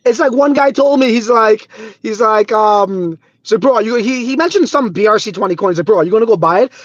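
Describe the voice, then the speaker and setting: High-voiced excitable man, dull phone audio. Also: brisk, no room sound. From Trinidad. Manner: excitable, swears a lot, says bro and dude often. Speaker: crypto livestream host. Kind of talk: casual livestream chat.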